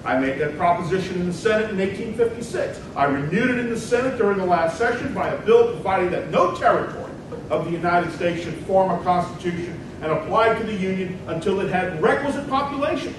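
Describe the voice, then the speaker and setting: A combative 19th-century senator acted out by a man declaims in a high-pitched voice, formal and emphatic. It is a dramatic reading of a stump debate speech, picked up at a distance in a very reverberant hall with clear rumbling noise. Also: expressive, fast talker. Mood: argumentative.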